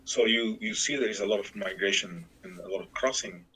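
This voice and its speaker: A middle-aged man from Guatemala, speaking haltingly in an interview, with restarts and fillers. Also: lowish voice, pushed and bright, slightly rough, rough recording. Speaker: interviewee, church worker. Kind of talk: reflective interview talk.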